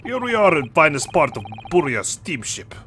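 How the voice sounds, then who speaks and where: A man's voice: fairly high pitch, big pitch swings, normal speed, a bit bright. Brief: man playing a haughty burly Russian-accented sailor, broken English, noisy background. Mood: gruff haughty. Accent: mock Russian. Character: gruff burly Russian sailor, proud and rude.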